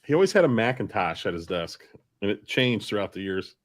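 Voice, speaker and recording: A man's voice: lowish voice, sing-song, a little fast. A man speaking casually as a panelist on a group chat show. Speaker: podcast panelist.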